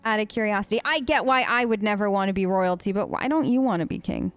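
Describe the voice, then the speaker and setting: A woman's voice: mid-range voice, quick pace, clean tone. A woman let's-player improvising casual role-play replies in a chatty, rambling, playful way.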